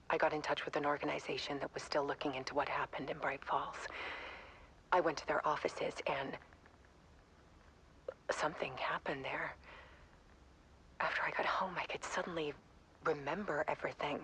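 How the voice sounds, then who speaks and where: A whispering devoted wife. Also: female voice, low-pitched, steady tone, normal speed, long pauses, a little hiss or hum, poor audio. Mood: hushed. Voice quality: soft, intimate. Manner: hushed, breathy whisper. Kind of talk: video game cutscene voice acting.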